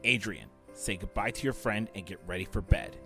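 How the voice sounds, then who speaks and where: A man's voice: lowish voice, fairly quick, sharp tone, soft background music. A man playing a cold, short-tempered middle-aged fashion-mogul father in a fan audio drama, with a stern, imperious delivery. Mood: cold, dismissive.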